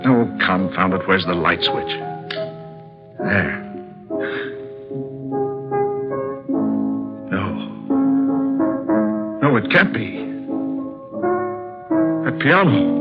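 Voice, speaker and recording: A well-bred, nervous gentleman in an old radio thriller. He is polite and melodramatic, with dramatic pauses. The vintage recording is dull, with loud music under the voice. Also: medium pitch. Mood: hushed dread. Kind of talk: old-time radio horror acting.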